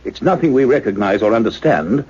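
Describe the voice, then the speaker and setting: A man's voice: medium pitch, animated, fairly quick, a little mellow, slightly rough. An actor playing an earnest male scientist, speaking in a precise, formal radio-play manner. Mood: pondering, intent. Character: earnest male scientist doctor narrating his investigation. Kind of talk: radio play acting and narration. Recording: dull, lo-fi radio drama recording.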